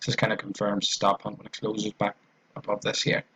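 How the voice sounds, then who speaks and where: A man's voice: fairly low pitch, monotone, brisk, sharp tone. A man with an Irish accent talking through a trading tutorial on screen, in a loose, repetitive way with fillers and restarts. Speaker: trading educator.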